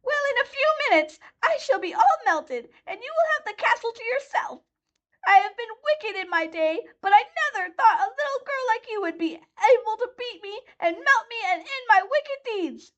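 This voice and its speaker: A woman voicing a cunning, cruel wicked old witch with a shrill, wailing, shrieking voice. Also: animated, normal speed, fairly bright tone. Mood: wailing despair.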